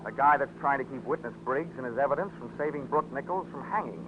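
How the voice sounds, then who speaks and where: An actor playing a tough, sardonic American private investigator in a 1940s radio detective drama, brusque and wisecracking. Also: male voice, medium pitch, fast talker, close to an everyday voice. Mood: sardonic, pressing. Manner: wisecracking, hard-boiled, brusque. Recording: noisy, tinny 1940s radio recording.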